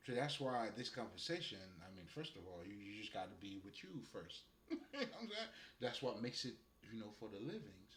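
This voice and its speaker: A man's voice: fairly low pitch, sing-song, fairly quick, a bit bright, reverberant. A man with a Haitian accent talking loosely and profanely about spirituality, laughing often. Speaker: spiritual guide. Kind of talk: casual spiritual monologue.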